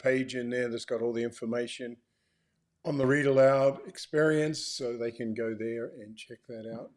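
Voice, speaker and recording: Older man, mid-pitched, matter-of-fact. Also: fairly even intonation, normal speed, a little mellow. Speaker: author co-hosting a welcome video. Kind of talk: informal informational talk.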